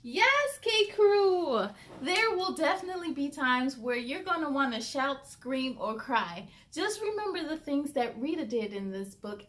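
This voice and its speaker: A woman hosting a children's story time, speaking to young listeners in an expressive, encouraging, deliberate way. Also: sits high. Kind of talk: read-aloud with encouraging commentary to children.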